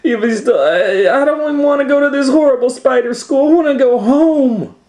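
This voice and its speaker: A man voicing an anxious little schoolgirl from a storybook, whiny and pleading in a raised pitch. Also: moderate pace, darkish tone. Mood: screaming, upset. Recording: roomy echo.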